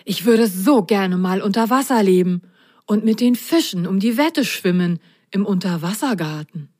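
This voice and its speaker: A woman's voice: medium pitch, expressive, moderate pace, a little mellow, natural voice. A woman voicing a dreamy, curious male mop-haired creature in a children's story, wondering and hesitant. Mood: hopeful, excited.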